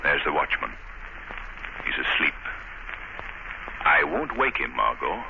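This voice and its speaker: Gentleman detective, 1930s radio serial: assured, measured, animated delivery, muffled vintage sound. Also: very deep voice, vocal fry, crowd noise, background noise, exaggerated voice. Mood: intent. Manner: measured, authoritative, explanatory. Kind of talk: 1930s radio drama acting.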